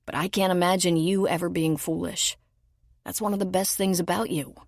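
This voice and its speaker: Casting note: woman playing a kind, soft-spoken middle-aged man, lowish gravelly voice. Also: fairly even intonation, moderate pace, no echo, studio quality. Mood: earnest.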